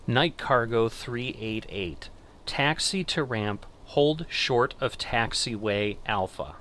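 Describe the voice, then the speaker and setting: A middle-aged man giving casual gameplay commentary and controller commands, thinking aloud in an unhurried way. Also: mid-range voice, animated, bright, forceful tone, slight background noise. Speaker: gaming channel host playing air traffic controller.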